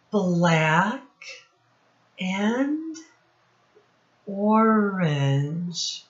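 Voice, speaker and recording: A woman's voice: deep voice, muffled recording. A woman leading a storytime lesson for young children, encouraging them and asking them questions directly. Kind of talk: read-aloud lesson quizzing young children.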